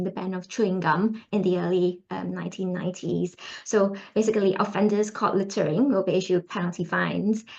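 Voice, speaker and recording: A woman's voice: medium pitch, darkish tone, muffled recording. A woman with a Singaporean accent giving an online forum presentation as a researcher, chatty and full of ums. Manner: chatty, many ums, laughs lightly. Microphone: video call.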